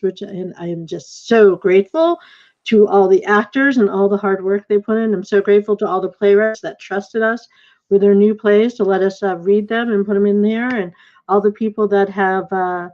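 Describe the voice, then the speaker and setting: A woman's voice: mid-range voice, darkish tone. A woman hosting an informal online event in an effusive way over a video call. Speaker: host and play director. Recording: dull, lo-fi video call audio.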